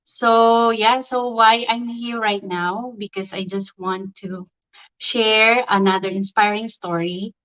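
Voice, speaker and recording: A woman originally from the Philippines hosts a live online interview over a video call. She speaks slowly and haltingly, filling gaps with ums and restarting her sentences. The stream audio is slightly dull and muffled. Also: medium pitch, steady tone, fairly bright tone. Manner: hesitant, many ums and restarts. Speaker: livestream host.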